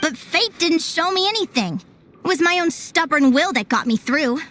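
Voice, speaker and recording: A woman's voice: sits high, expressive, moderate pace, a bit bright, slight background noise. A woman playing a friendly, earnest, strong-willed young advisor in a video game. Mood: reflective.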